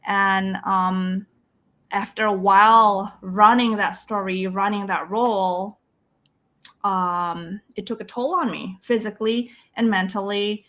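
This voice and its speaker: A woman's voice: mid-range voice, fairly even intonation, takes it slowly, fairly bright tone. A middle-aged woman from Indonesia, a life coach, talking reflectively in an interview with many restarts and small laughs. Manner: earnest and reflective, frequent restarts, quotes others' voices, laughs often. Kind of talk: conversational interview storytelling.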